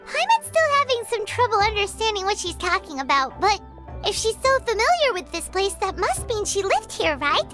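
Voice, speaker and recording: A woman voicing a tiny, excitable fairy companion who speaks of herself in the third person. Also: very high-pitched, normal speed, faint music behind, full character voice.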